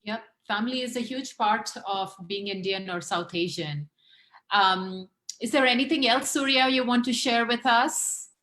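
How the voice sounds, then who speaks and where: Bright, high-voiced woman hosting. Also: normal speed. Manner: encouraging, often chiming in with short affirmations. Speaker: host. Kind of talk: interviewing children in a casual group chat.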